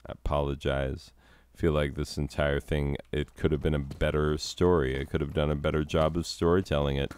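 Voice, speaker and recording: A man, a teacher who hosts his own gaming videos, telling a long rambling story about his week in a self-deprecating way, his voice a little hoarse from a cold. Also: extremely low pitch, flat delivery, moderate pace, darkish tone. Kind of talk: rambling casual commentary over gameplay.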